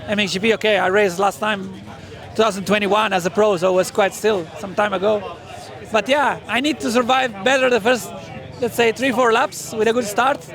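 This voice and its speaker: High, gravelly man at an outdoor track. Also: fairly even intonation, a bit bright. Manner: halting, searching for words. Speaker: racer. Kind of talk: press day interview. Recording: outdoor crowd noise.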